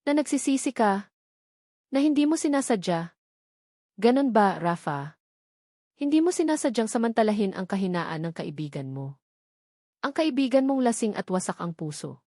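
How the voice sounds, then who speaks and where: A woman's voice: medium pitch, sing-song, moderate pace, long pauses, mellow, no echo, studio quality. A woman voicing a heartbroken, betrayed young woman in a storybook, emotional and wounded. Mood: angry, accusing. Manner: emotional, accusing.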